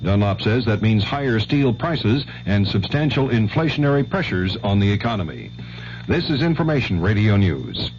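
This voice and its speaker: A man's voice: lowish voice, big pitch swings, moderate pace, darkish tone. A man reading a scripted news bulletin as a radio newsreader. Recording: dull old radio broadcast, faint hum.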